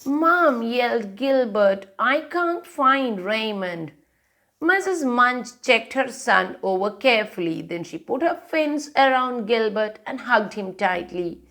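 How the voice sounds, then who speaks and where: A woman's voice: on the high side, expressive, unhurried, few pauses, fairly bright tone. A woman hosting a children's storytime, reading a picture book aloud and pointing out the pictures.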